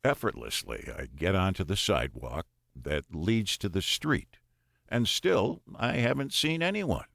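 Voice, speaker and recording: Male audiobook narrator for first-person fiction, slightly low gravelly voice with fry, close dry recording. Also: very animated, unhurried, a bit bright, no room sound.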